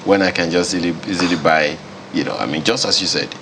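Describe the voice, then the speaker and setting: Low-voiced male TV host. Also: fast talker, background noise. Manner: casual, searching for words with fillers. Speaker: TV talk show host. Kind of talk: studio discussion.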